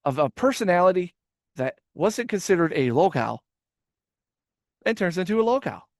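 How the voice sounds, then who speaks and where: A man's voice: high-pitched, very animated, moderate pace, no room sound, clean studio sound. A man hosting a casual reaction podcast, rambling with stammered restarts and swearing. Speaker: podcast host.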